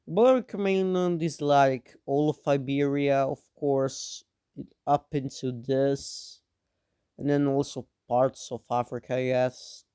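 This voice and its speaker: A man's voice: mid-range voice, steady tone, takes it slowly, dark tone, clear, resonant tone, no room sound, clean studio sound. A young man narrating a map scenario in a casual, rambling way, with offhand teasing asides; he is a bit sick. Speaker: mapping video narrator.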